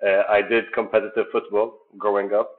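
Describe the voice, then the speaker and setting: Male interviewee from Jordan, low register, earnest and measured, thin phone-line audio. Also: little pitch movement. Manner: earnest, measured, many fillers. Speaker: sports program founder. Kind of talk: interview answers.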